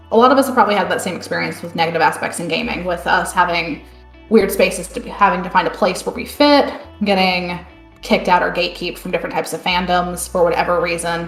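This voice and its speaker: A woman hosting a live online panel discussion, chatty and relaxed. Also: on the low side, a little fast, echoey room, faint music behind. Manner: relaxed, chatty, many fillers like "end up". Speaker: panel host.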